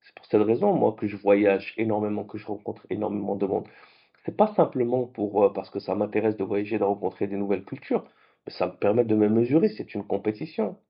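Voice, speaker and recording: A man whose first languages are French and Arabic, hosting a late-night trading vlog in a lively, conversational way. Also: on the low side, a little fast, vocal fry, breathy edge, dull audio. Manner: animated, conversational, with restarts. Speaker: trading channel host.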